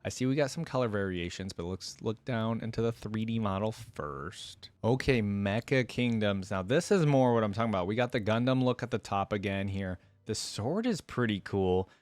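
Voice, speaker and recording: A man's voice: on the low side, moderate pace, few pauses, a little mellow, no echo. A man hosting a reaction video, giving informal, off-the-cuff commentary and thinking aloud. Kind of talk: casual off-the-cuff reaction commentary on game skins.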